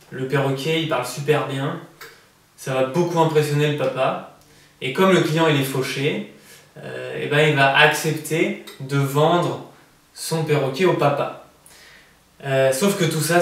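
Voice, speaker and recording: A man in his thirties, an author from France, answering interview questions in a relaxed, hesitant way with many fillers and restarts. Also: fairly high pitch. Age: 30s. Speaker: prize-winning author interviewed. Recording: echoey room, voice from far off the mic.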